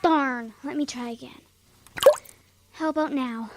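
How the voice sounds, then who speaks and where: High-voiced, frank young schoolgirl , played by a woman. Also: measured pace. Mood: determined. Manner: plain-spoken, childlike. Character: young schoolgirl, helpful, frank, a little secretive. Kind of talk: children's radio drama acting.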